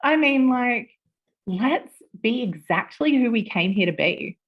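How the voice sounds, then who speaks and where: A woman in her forties, a podcast guest, chatting casually with lively laughter and the odd swear word. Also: fairly high pitch, a little slow, highs cut off. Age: 40s. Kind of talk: casual interview chat.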